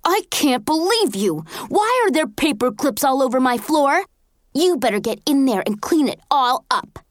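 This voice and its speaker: Woman playing a shrill, furious teenage big sister. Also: sing-song, normal speed, dry recording, studio quality. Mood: snarling. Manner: shrill, snarling. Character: bossy, furious teenage older sister, shrieking and snarling.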